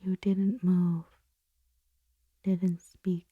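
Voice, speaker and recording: A woman playing a conflicted boss confessing forbidden desire in an intimate, breathy, whispered ASMR roleplay monologue. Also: fairly low pitch, little pitch movement, dry recording, narrow, tinny audio, full character voice. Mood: longing, sensual. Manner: slow, intimate, halting delivery.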